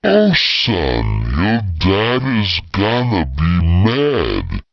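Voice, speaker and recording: Gravelly, menacing father, wildly swooping. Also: low voice, wildly swooping pitch, dry recording, highs cut off, full character voice. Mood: menacing, taunting. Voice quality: gravelly, rough. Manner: drawn-out taunting sing-song calling. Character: menacing, unhinged father hunting his hiding son.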